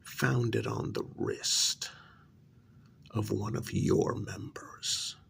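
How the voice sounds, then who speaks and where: A low, gravelly male vampire lord acted by a man in an ASMR role-play. He speaks in a breathy half-whisper with heavy creak, aristocratic and mock-polite. The threat is cold and deliberate, with faint hiss behind the voice. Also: big pitch swings, fairly bright tone, full character voice. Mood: quiet menace. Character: menacing aristocratic male vampire master, coldly vengeful.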